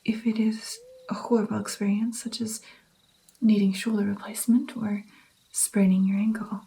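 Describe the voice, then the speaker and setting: A woman doing whispered ASMR roleplay as a gentle, caring vampire mentor, with an intimate, breathy delivery. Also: mid-range voice, little pitch movement, moderate pace, rough recording.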